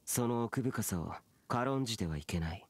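A man playing an aloof, youthful immortal warrior in an anime game, speaking gravely and curtly. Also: lowish voice, animated, moderate pace, dry recording. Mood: solemn, instructive. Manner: curt, grave, stern. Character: solemn, aloof immortal warrior guardian, young-looking man. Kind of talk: Japanese anime game voice acting. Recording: game music behind.

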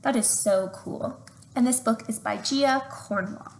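A young woman doing an expressive read-aloud for children, with chatty questions to her listeners. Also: on the high side, measured pace. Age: young adult. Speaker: storyteller reading to children. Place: open living room at home. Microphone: webcam at arm's length. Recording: slightly noisy home webcam recording.

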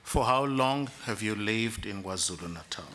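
A man's voice: lowish voice, expressive, normal speed, a bit bright. Direct man questioning. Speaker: interview panel commissioner. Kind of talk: formal interview questioning.

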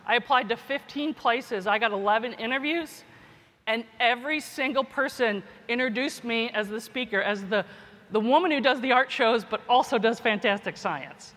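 Bright-voiced woman speaking in an echoey hall. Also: on the high side. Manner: informal, peppers talk with "right?" and "you know". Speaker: keynote speaker, scientist and teacher. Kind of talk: conference keynote talk. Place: large conference hall. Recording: echoey hall, long reverb.

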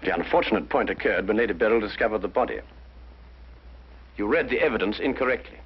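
An actor playing a brilliant, courteous detective, speaking precisely and deliberately in 1950s detective drama style. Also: male voice, on the low side, background noise, natural voice. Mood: calm, assured. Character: brilliant, composed male detective, methodical and courteous. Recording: muffled 1950s broadcast, music underneath.

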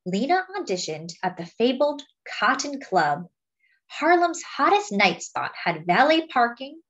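A woman with a fairly high voice reads a picture book aloud for children. Her pitch moves up and down in a very animated way, and she phrases each line deliberately, like a teacher at story time. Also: muffled recording.

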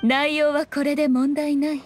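Woman acting fox shrine maiden, high voice, lofty archaic phrasing, self-assured, teasing, game dialogue, faint music. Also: little pitch movement, lightly acted. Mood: sly, scheming. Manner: archaic, lofty, unhurried speech with teasing composure.

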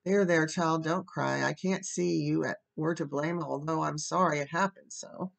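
A brisk, no-nonsense older woman from a storybook, acted by a woman reader. Her creaky voice is stern and curt, that of a guardian used to scolding. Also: sits low, fairly even intonation. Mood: exasperated.

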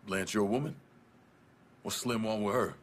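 A man's voice: on the low side, fairly even intonation, moderate pace, a little hiss or hum, natural voice. An actor playing a tough, blunt young male veteran turned vigilante in a video game. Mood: cool, blunt. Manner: terse, blunt. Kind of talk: video game cutscene acting.

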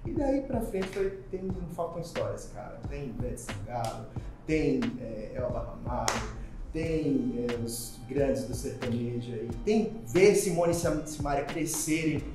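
A man's voice: medium pitch, lively intonation, measured pace. A man in his thirties with a Pernambuco accent, a press officer chatting animatedly as an interview guest and laughing often. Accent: Pernambuco Brazilian Portuguese. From Pernambuco, Brazil. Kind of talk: casual interview chat. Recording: very echoey room with music.

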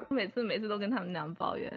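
A woman's voice: mid-range voice, fairly quick. Woman casually chatting n playfully griping, muffled voice call, music underneath. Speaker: drama production staff member. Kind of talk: casual group chat.

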